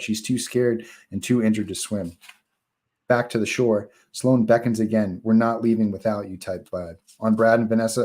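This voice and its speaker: A man narrates a film script at a live table read, delivering scene headings and stage directions. His voice is fairly low. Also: darkish tone.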